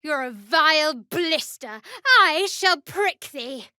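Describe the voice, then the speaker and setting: A haughty fantasy spellcaster in a video game. Her voice is extremely high and piercingly sharp, swinging widely as she declaims archaic curses and incantations with grand drama. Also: lively intonation, slow, no room sound, clean studio sound, exaggerated voice. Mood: scornful. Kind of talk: video game combat barks.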